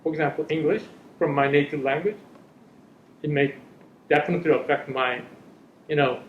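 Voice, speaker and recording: Man, middle-aged, fairly high voice, earnest panel talk, echoey room, muffled sound. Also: moderate pace, a little hiss or hum. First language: Korean. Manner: earnest, searching for words in a second language. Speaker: panelist, parent. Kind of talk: panel discussion. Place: school hall or room.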